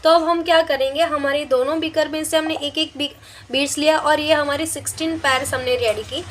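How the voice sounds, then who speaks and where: A woman's voice: high-pitched, steady tone, fairly quick. A woman giving a practical demonstration lesson as a biology teacher, explaining in an instructional way and repeating steps for students.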